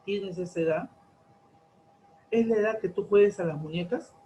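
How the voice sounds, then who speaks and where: Deliberate man in his forties, a teacher. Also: high-pitched, dark tone, poor audio. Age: 40s. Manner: slow and deliberate, stretching out key terms, many rhetorical questions. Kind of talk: classroom lecture to teenagers.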